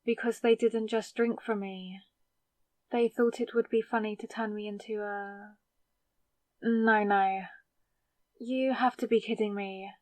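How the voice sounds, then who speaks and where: A woman playing a newly turned vampire, sarcastic and rattled, in a conversational, exasperated audio roleplay with hesitant pauses. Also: mid-range voice, fairly even intonation, dry recording, lightly acted. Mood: incredulous, upset. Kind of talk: scripted ASMR audio roleplay.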